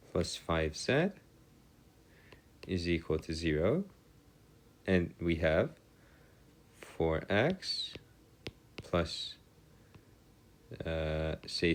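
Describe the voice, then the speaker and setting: Male tutor, deep voice, very varied intonation, informal lecture, formal wording, self-correcting. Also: a little hiss or hum. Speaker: maths tutor. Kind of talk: informal lecture working through equations.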